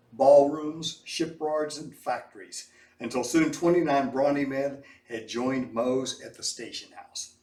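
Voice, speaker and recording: A man reading a children's story aloud with animated, emphatic, dramatic delivery. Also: mid-range voice. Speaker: storybook reader. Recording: echoey room, distant mic.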